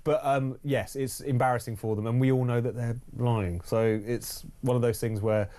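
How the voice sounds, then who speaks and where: A man's voice: medium pitch, steady tone, normal speed, dark tone. A man giving opinionated news commentary as a studio guest, articulate and dry. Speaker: magazine editor, studio commentator.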